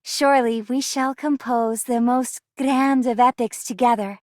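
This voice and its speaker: A woman's voice: a little high, steady tone, unhurried, darkish tone, slight background noise, lightly acted. An actress playing an idealistic, heroic young woman in a game, speaking in a lofty, grandiose way. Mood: earnest, exalted.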